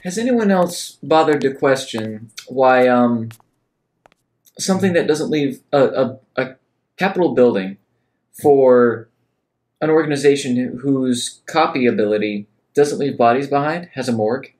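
A man's voice: mid-range voice, unhurried, darkish tone. A man talking casually through decisions as a player in a tabletop game.

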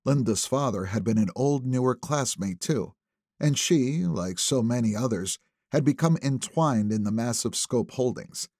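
A man narrates a thriller novel in a slightly husky voice with some creak in it. The reading is scripted audiobook narration, recorded very dry with no room around it. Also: medium pitch, lively intonation, measured pace, a little mellow, no echo.

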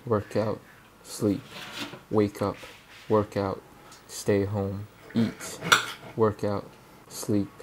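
A young man from Hong Kong, a native Cantonese speaker, calmly narrating a reflective personal voice-over in English. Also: lowish voice, a little hiss or hum. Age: young adult. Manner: calm, reflective, measured. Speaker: student filmmaker.

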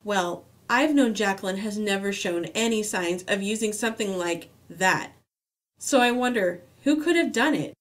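A woman's voice: mid-range voice, expressive, normal speed, close to an everyday voice. A woman doing a prim, motherly monster matron. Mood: proper. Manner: proper, scolding, motherly.

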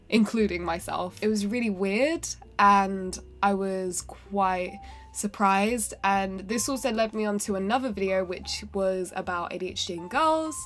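A woman in her twenties with a cold and a nasal voice, chatting to camera in her bedroom, rambling with lots of fillers and self-corrections. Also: mid-range voice. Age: 20s. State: has a cold, sounds nasal. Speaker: singer-songwriter and vlogger. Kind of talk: casual talking-to-camera vlog. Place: bedroom indoors.

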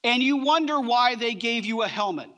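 High-pitched, bright-voiced preacher. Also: male voice, expressive. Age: middle-aged. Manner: emphatic, with deliberate pauses between short phrases. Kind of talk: sermon. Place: large church auditorium, on stage.

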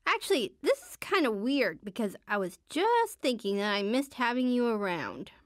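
Game role: shy teen superhero girl, higher-pitched, very animated melody, understated and earnest. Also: normal speed, no room sound, studio quality. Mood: earnest, warm. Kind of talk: video game character voice lines.

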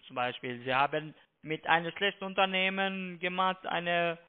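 A man with a foreign accent, not a native speaker, pushing a halting, repetitive sales pitch over the phone as a call-centre agent. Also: fairly even intonation, clean tone. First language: not German. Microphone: telephone. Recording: tinny narrow phone line.

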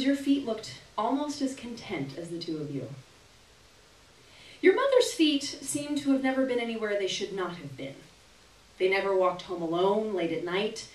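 A young woman gives an expressive, theatrical reading of a literary short story at a live event, with deliberate phrasing and dramatic pauses. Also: medium pitch, slight background noise. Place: small indoor gallery reading event. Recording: roomy live venue, distant camera microphone.

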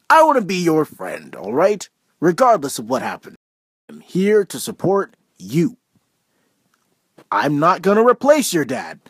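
A woman voicing a gentle, respectful cartoon stepdad who speaks in an earnest, reassuring way. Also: on the low side, husky, no echo, clean studio sound, exaggerated voice.

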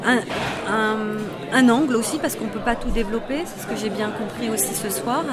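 A woman's voice: a little high, fairly quick, over loud music. A woman from the audience giving her reaction in a street-style interview, hesitant with many fillers. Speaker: audience member. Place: screening venue lobby. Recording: busy crowd behind.

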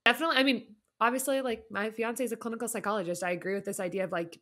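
A woman's voice: fairly high pitch, steady tone, very fast, a bit bright. A woman chatting informally as a panelist, peppering her speech with conversational fillers. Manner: informal, peppered with "like" and "you know". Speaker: podcast panelist, teacher. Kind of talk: casual chat.

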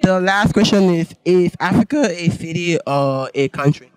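A young man, a native French speaker, putting halting questions to someone as a casual street interviewer. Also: high-pitched, animated, clear, resonant tone, rough recording. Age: young adult. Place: outdoor sidewalk at night. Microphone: shared handheld microphone.

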